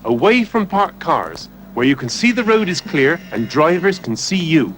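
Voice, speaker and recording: An actor playing a brisk superhero who teaches children road safety in a 1970s TV film. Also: male voice, on the high side, sing-song. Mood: instructive. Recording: old television film soundtrack with music.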